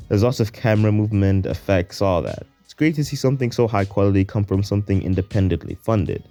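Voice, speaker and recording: A man's voice: sits low, mellow. A man hosting a video essay, narrating a scripted review in an informal, slangy way. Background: faint music.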